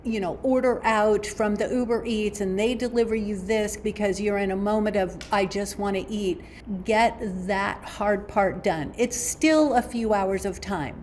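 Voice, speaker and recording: A woman with a mid-range voice gives steady, practical health advice as a podcast guest. She speaks in a firm, matter-of-fact way. A faint hiss of noise sits under her voice. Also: mid-range voice, measured pace, few pauses. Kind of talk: giving advice in an interview.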